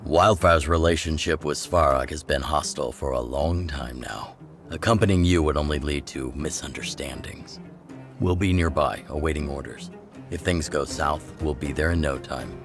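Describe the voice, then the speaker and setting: Gruff underground chief, middle-aged, extremely low voice, measured and plain-spoken authority, video game dialogue over faint music. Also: male voice. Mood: pragmatic, commanding. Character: gruff older male chief of underground resistance, measured, pragmatic.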